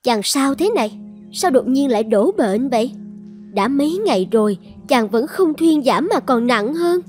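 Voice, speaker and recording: A woman playing a proud dragon goddess in a dubbed fairy-tale cartoon, with theatrical, expressive delivery. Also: high voice, moderate pace, faint music behind. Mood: tender, concerned. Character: proud dragon goddess, devoted wife turned vengeful.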